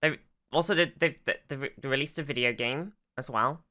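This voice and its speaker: A podcast co-host with a bright, forceful voice at a medium pitch talks casually about television. The delivery is that of an eager fan, halting and repetitive, often restarting a thought midway. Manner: hesitant, often repeats words and restarts. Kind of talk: casual fan discussion of a TV show.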